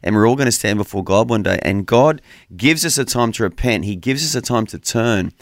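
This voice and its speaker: A man's voice: on the low side, lively intonation, brisk, dry recording, clean studio sound. Earnest man preaching over radio. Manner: earnest, preacherly, addresses co-host by name. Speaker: radio host. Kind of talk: conversational Bible teaching on radio.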